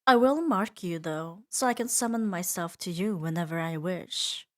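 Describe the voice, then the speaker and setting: A woman voicing a seductive, possessive female demon in an intimate ASMR roleplay, teasing and soothing with soft dominance. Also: fairly low pitch, normal speed, close to an everyday voice. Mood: teasing, businesslike. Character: seductive, possessive female demon doting on her new pet.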